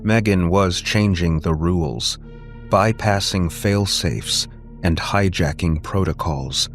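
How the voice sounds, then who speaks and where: Deep, slow-paced man narrating dramatically. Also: faint music behind. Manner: deliberate, suspenseful. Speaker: audiobook narrator. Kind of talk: dramatic scripted story narration.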